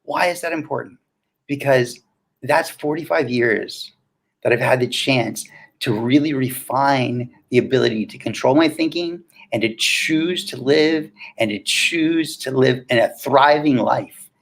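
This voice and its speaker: A man's voice: mid-range voice, measured pace, gravelly, a bit bright. A man in his fifties speaking as a coach and interview guest, casual and motivational, often posing questions and answering them himself. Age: 50s.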